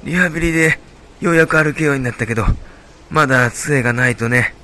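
A man playing a kindly, concerned retired stroke patient in an amateur radio drama, with gentle turns of phrase. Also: mid-range voice, a little fast, background noise, close to an everyday voice.

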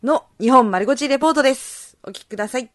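A woman's voice: high voice, quick pace, no room sound, studio quality. A woman hosting a radio talk as its navigator, polite and attentive in a conversational style. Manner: polite, frequent attentive backchannels. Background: background music.

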